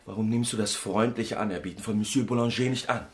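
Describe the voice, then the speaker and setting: Radio drama: male actor as unsuspecting doctor husband, earnest, urging, on the low side. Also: steady tone, fast, a little hiss or hum, lightly acted. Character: well-meaning, trusting, unsuspecting husband and country doctor.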